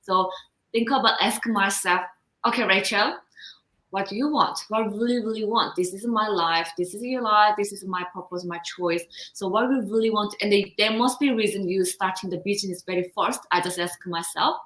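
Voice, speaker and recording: A woman in her thirties from South Korea, speaking English with a Korean accent, telling her story as a guest coach with lively, halting phrasing. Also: medium pitch, reverberant, poor audio. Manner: expressive, laughs often, halting non-native phrasing. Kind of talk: casual interview storytelling.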